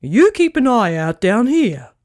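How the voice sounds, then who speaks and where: A woman voicing a proud, haughty female pelican in a storybook, speaking formally, slowly and emphatically. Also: on the high side, big pitch swings, darkish tone, no room sound, studio quality. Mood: kindly.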